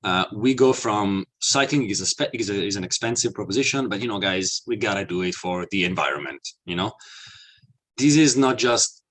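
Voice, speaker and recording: A man's voice: on the low side, lively intonation, moderate pace, fairly bright tone. A man from Italy with an Italian accent, giving an earnest, explanatory webinar talk with frequent fillers and restarts. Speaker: webinar presenter, mobility consultant.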